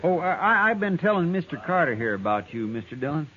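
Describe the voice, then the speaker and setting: An actor playing an eager, deferential deputy helper who chatters earnestly in an old radio western. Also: male voice, on the high side, big pitch swings, quick pace. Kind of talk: 1950s radio drama acting. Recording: lo-fi old radio with crowd murmur.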